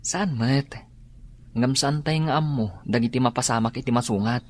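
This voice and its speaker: A man's voice: mid-range voice, normal speed, close to an everyday voice. Give me a man as an earnest young student. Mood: thoughtful. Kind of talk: Ilocano radio drama acting.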